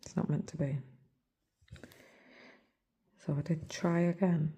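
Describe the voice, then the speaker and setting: An English woman with a hoarse, rough voice, talking softly and almost in a whisper into her phone while she stitches. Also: deep voice, little pitch movement, slow, dull audio. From England. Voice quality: soft, hushed, near-whispered voice. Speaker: cross-stitch vlogger. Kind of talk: casual chat while stitching.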